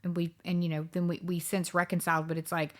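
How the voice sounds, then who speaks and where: Podcast host, woman in her thirties, low-pitched, reflective and meandering. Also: level tone, fast talker. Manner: reflective, rambling with many fillers and restarts. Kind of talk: casual chat.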